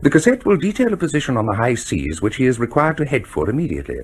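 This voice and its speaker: An actor playing a composed, self-assured military official in a radio drama, explaining things in a measured, didactic way. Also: male voice, mid-range voice, sing-song, slightly rough. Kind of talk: broadcast radio drama acting.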